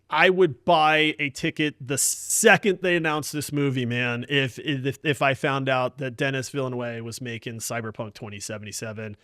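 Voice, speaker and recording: A man with a fairly high voice and a close, dry sound chats as a podcast host. He is enthusiastic and emphatic, leaning on casual slang as he gives his opinions. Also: a bit bright, no echo. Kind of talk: casual gaming podcast chat.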